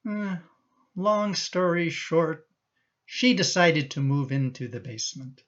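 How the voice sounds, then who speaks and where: A man's voice: a little high, animated, slow pace, a bit bright, muffled recording. A man acting in a radio play as a sheepish, evasive husband and father who cajoles hesitantly.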